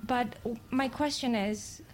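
Greek woman asking a question at a live event, highish voice, polite, halting, slight background noise. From Greece. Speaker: audience member asking a question. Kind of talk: spoken question at a public event. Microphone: handheld audience microphone.

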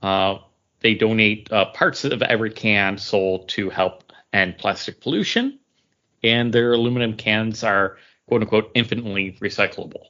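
A man co-hosting a podcast, explaining things casually in a halting way. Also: fairly low pitch, fairly even intonation, a bit bright, muffled recording. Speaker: podcast co-host. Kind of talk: casual chat.

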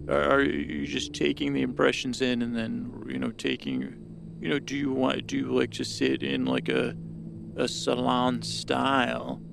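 A man's voice: medium pitch, flat delivery, a little slow, gravelly, noisy background. A man hosting a podcast, improvising a meandering monologue that halts, repeats itself and trails off. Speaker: podcast host. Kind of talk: rambling improvised bedtime story monologue.

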